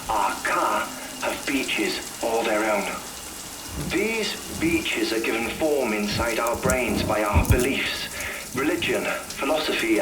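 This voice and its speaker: A man playing an earnest, thoughtful scientist in a video game cutscene, explaining theories haltingly. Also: fairly low pitch, echoey room, noisy background. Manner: halting, explanatory, with hesitant pauses.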